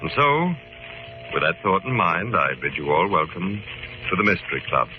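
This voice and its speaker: An actor playing a calm, authoritative club leader, formal and measured, in old-time radio drama style. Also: male voice, deep voice, lightly acted. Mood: authoritative, explaining. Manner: formal, measured, clearly enunciated. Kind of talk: 1940s-50s radio drama acting and narration. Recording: muffled old radio broadcast with hiss.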